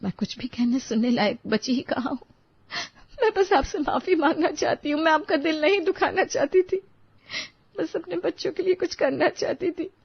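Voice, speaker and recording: A woman voicing a humble, apologetic working mother with a pleading, self-effacing manner in an animated moral story. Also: sits high, moderate pace, gravelly, no room sound, muffled recording, voice pushed up.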